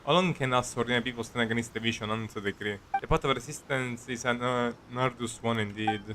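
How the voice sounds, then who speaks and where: A man streaming a video game, casually commenting and reading dialogue aloud in a halting, sweary way. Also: mid-range voice, fairly even intonation, noisy background.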